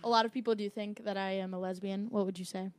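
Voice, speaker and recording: A young woman with a middle-pitched voice, recorded dry and close in a studio. She speaks confidently, pushing back and joking with the others. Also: fairly even intonation, darkish tone, smooth, dry recording. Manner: confident, quick to protest and joke. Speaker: podcast panelist. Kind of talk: casual podcast banter. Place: podcast studio.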